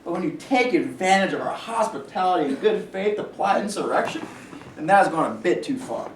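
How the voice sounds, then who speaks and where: A man's voice: high voice, expressive, fairly quick, reverberant. Man as stern accusing executive, smug, stage play, distant mic, faint noise. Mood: stern, condescending. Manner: stern, condescending. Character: smug, accusing junior factory executive, male.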